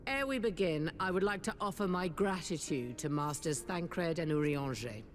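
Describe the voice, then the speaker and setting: An actress playing a composed, stately leader with a formal, deliberate manner in a fantasy game. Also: female voice, lowish voice, animated, soft background music, lightly acted. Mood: composed, grave. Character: stately, composed leader opening a war council. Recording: long hall-like reverb.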